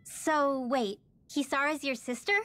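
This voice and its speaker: An actress voicing a spirited, curious young girl in a video game, talking in an inquisitive, excitable way. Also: sits high, unhurried, dry recording. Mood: surprised. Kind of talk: dramatic video game voice acting. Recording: game music under the dialogue.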